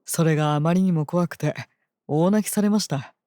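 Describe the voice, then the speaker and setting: An actor playing a calm, very polite holy knight and devoted royal bodyguard in a fantasy audio drama. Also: medium pitch, moderate pace, no room sound, clean studio sound, natural voice. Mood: fond, gentle. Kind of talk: Japanese audio drama acting.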